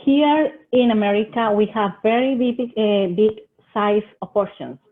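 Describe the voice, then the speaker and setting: A woman's voice: mid-range voice, unhurried. Colombian-accented woman on a tinny call. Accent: Colombian Spanish. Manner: earnest, gives advice in short phrases with some hesitations. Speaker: extension agent presenter. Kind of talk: webinar presentation giving tips. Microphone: video call. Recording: tinny phone-like video call audio.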